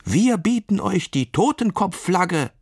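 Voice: mid-range voice, sing-song, measured pace, husky, no room sound, clean studio sound, pitched above the performer's own voice, full character voice. A storyteller voicing a plucky, impatient young female sheep who wants to be a pirate, bossy and blustering. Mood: urging. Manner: bossy, blustering, calls out loudly.

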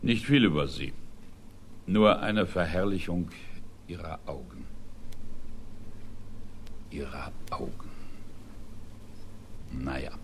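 An ageing man in a theatrical radio monologue, bitter and brooding. He speaks slowly and haltingly in a low voice that moves widely in pitch. A faint noise lies on the recording. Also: animated, heavily acted. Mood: brooding, wistful. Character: ageing man bitterly mocking his recorded younger self.

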